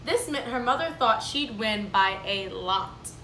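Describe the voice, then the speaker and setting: A woman, a kindergarten teacher, reading a storybook aloud to children in a clear, explaining, sing-song manner. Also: on the high side, animated, unhurried, bright, forceful tone, a little hiss or hum. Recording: echoey room, mic at a distance.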